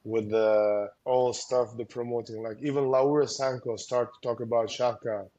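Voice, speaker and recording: Halting coach with a mellow voice. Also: male voice, mid-range voice, flat delivery. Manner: halting, with many fillers and restarts; earnest advice-giving. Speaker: combat sports coach, guest. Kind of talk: casual interview talk.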